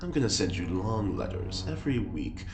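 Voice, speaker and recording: An actor playing a flamboyant, teasing friend in an audio drama, playful and dramatic. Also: male voice, lowish voice, very animated, normal speed. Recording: echoey, noisy ambience behind.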